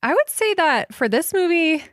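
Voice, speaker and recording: A woman's voice: very high-pitched, big pitch swings, normal speed, no echo, clean studio sound. A woman co-hosting a podcast, chatting casually in an easygoing, giggly way. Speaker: podcast co-host. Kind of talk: casual chat.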